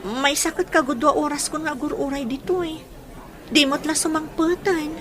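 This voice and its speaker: A woman's voice: high-pitched, steady tone, normal speed, fairly bright tone. An actress playing a trusting, anxious young girlfriend in a radio drama, with an Ilocano accent.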